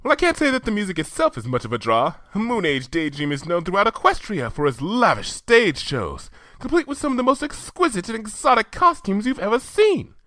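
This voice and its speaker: A man's voice: high-pitched, big pitch swings, a little fast, few pauses, pushed and bright, dry recording, pitched above the performer's own voice, heavily acted. A man voicing an elegant, fashion-loving young pony mare who speaks in a refined, theatrical way.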